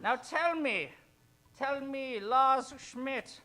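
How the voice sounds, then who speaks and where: Bombastic, high-pitched radio serial villain. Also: male voice, drawn-out words, pushed and bright, a little hiss or hum, full character voice. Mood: sinister coaxing. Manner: bombastic, menacing, melodramatic. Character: raging male pulp villain who bullies his henchmen. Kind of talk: comedic old-time radio villain acting.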